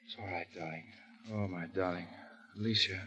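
An actor playing a proper, naive young gentleman, earnest and slightly formal, in 1930s radio comedy. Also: deep voice, steady tone, a little fast, dull audio. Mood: tender. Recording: vintage 1930s radio broadcast.

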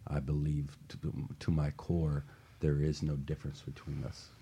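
A man hosting a radio talk show, sharing his views in a halting, reflective way with repeated words. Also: extremely low pitch, monotone, mellow, slightly rough.

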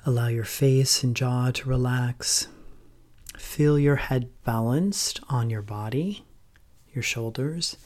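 A man leading a guided meditation as a teacher, in a gentle, measured, calm manner with pauses and soft, breathy phrase endings. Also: medium pitch.

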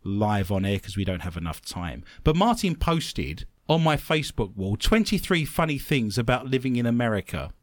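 An English man from London hosts a radio talk show in a casual, chatty way. His voice is mid-pitched but leaps up and down with lots of life, and he laughs easily. The sound is dry and close, with no room echo. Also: moderate pace, hardly any pauses. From London, England. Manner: chatty and animated, often laughing.

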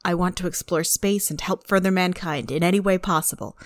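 An actress playing an earnest young woman applying to a space program in an audio drama, with formal, measured answers. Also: on the low side, dry recording, studio quality, lightly acted. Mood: earnest, resolute.

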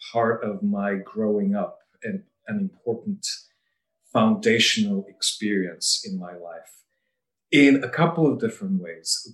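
A middle-aged man from Bulgaria, a lawyer, giving a measured and articulate personal account. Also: fairly low pitch. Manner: measured and articulate, occasional light laughs. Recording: roomy echo, mic at a distance.

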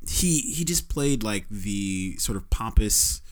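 A man with a fairly low voice talks through a film review in a loose, casual way. He stops and restarts often, stacking up fillers as he hunts for words. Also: lively intonation. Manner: halting, many restarts and fillers. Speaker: podcast co-host.

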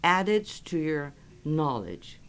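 A woman professor speaking in an interview, slow and deliberate. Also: sits low, sing-song, fairly bright tone, clear, resonant tone. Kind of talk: interview answer.

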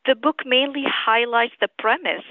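Middle-aged woman from syria on a tinny phone line, measured formal answers like an author interview. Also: a little high, dry recording. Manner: measured, articulate, formal phrasing. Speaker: author and physician guest. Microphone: telephone.

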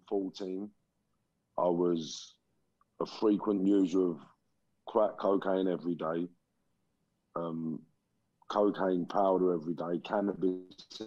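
Man in his 40s, very low mellow voice, dry dull call audio, measured and reflective. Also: no echo. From England. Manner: slow, reflective, many pauses and ums. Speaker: guest. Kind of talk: life story interview.

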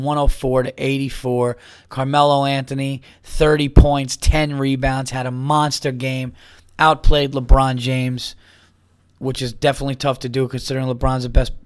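A man's voice: medium pitch, clean tone, no room sound, studio quality. A man, a comedian talking casually on his own podcast in a rambling, upbeat way while losing his voice to a cold. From New York. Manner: rambling, upbeat, with restarts and plugs. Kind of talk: casual solo podcast chat.

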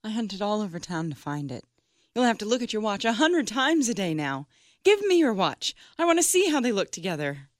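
Man doing a soft young loving wife. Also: high voice, sing-song, fairly quick, no room sound, studio quality, voice pushed up. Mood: eager.

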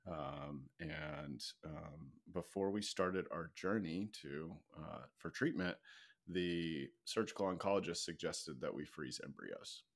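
A man's voice: low voice, takes it slowly, gravelly. A man speaking as a podcast guest, casually telling personal stories in a conversational, anecdotal way.

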